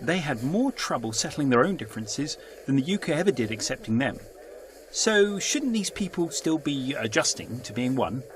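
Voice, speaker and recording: An actor playing a sceptical, puzzled travelling companion from Britain in an audio drama, dry and questioning. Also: male voice, medium pitch, sing-song, normal speed, slight background noise, close to an everyday voice. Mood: puzzled, curious. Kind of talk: full-cast audio drama acting.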